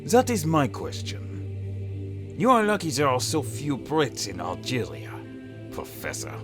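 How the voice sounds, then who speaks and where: A man playing a proud, menacing military commander in an audio drama, speaking in a deliberate, probing way. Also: mid-range voice, huge pitch swings, music in the background.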